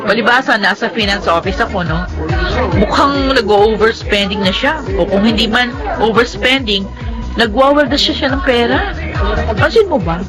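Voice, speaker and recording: An actress playing a sensible, sharp-tongued young Filipino office worker in a chiding, questioning radio drama style. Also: female voice, fairly high pitch, expressive, normal speed, music in the background, close to an everyday voice, low-quality recording. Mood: suspicious, gossipy. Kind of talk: Tagalog radio drama acting.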